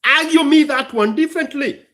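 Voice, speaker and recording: A man's voice: very high voice, normal speed, pushed and bright. A man hosting a live stream, challenging his viewers in a combative way. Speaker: livestream host and rapper. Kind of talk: live stream talk mixing rap and opinion.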